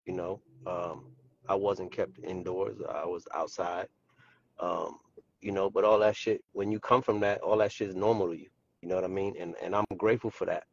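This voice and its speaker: A man from the South Bronx talking haltingly, with many fillers, as a podcast guest on a video call. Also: sits low, steady tone, creaky, husky, dull audio. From South Bronx, New York. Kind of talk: casual podcast talk.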